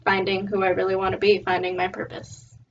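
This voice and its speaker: A woman's voice: medium pitch, completely flat delivery, fairly quick. Young woman from California, off-mic. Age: young adult. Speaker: guest. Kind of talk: casual podcast chat. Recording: distant dull lo-fi mic with faint hiss.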